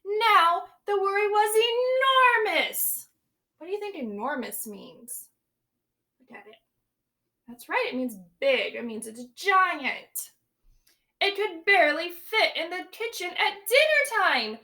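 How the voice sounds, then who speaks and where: A young woman teacher reads a picture book aloud for children. Her voice sits high and sounds bright and clear. She reads with lively expression and stops to ask the listeners questions. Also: takes it slowly.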